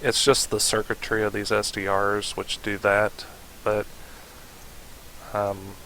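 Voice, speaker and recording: Male tutorial host, informal and unscripted, with a fry-edged low register and light background hiss. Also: completely flat delivery, slow, pushed and bright, rough recording. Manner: informal, thinking aloud while demonstrating. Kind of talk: casual software tutorial narration. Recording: hissy home recording.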